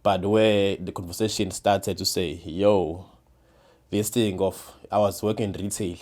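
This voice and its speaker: Guy in his 30s, low-ish voice, keeps restarting his sentences, casual guest chat. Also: steady tone, a little mellow. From South Africa, KwaZulu-Natal. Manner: halting, restarts and repeats words often. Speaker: podcast guest, former defense attorney.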